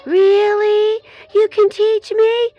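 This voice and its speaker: A tiny girl piglet from a children's story, eager and sweet. The voice is extremely high and squeaky, with slow, drawn-out words and an excitable manner. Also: dry recording, dull audio. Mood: eager, curious. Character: sweet eager little female piglet learning to oink.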